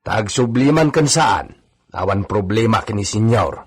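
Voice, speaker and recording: A man's voice: lowish voice, expressive, a little slow, slightly rough. A man with an Ilocano accent acting a gossipy, obedient male villa stable hand in a radio drama. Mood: gossipy, concerned.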